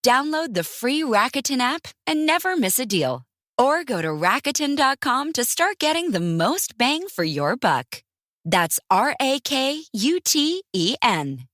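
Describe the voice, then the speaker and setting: A woman's voice: sits high, very animated, measured pace, a bit bright, dry recording, clean studio sound. A woman announcer giving an upbeat scripted advertisement read.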